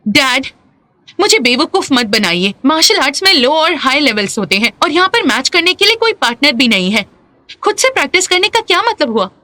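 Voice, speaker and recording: Bright, haughty young champion girl. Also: sits high, big pitch swings, brisk, no room sound, full character voice. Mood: defiant, scornful. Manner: haughty, challenging. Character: arrogant, defiant young female martial arts champion, coach's daughter. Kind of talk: dubbed screen drama dialogue.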